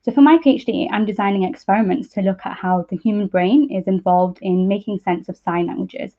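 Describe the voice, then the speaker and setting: Thin, phone-like online call audio carries a young woman. Her pitch sits in the middle for a woman. She explains science informally over slides, as a student presenter who hesitates a little as she goes. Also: a little fast. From East Midlands, England. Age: young adult. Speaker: PhD student speaker. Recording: muffled narrow-band online call audio.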